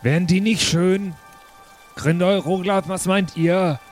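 Man playing a meddling, higher-voiced teenage dwarf. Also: takes it slowly. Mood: curious. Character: chatty, meddling teenage male dwarf brother. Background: background music.

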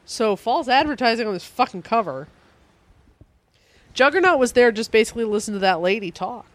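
A woman's voice: lively intonation, fairly quick, no echo. A congested woman co-hosting a casual comic book podcast, laughing often and swearing casually. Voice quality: low voice for a woman. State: stuffed up, congested. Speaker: podcast co-host.

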